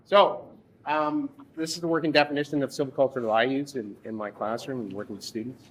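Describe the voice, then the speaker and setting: A man's voice: mid-range voice, fairly even intonation, fairly quick, a little hiss or hum, poor audio. A man teaching at a forestry workshop, talking in a hesitant way, often restarting and correcting himself. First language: English. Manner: hesitant, many restarts and fillers, self-correcting. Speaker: forestry instructor presenting at a workshop. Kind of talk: workshop presentation. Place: workshop room.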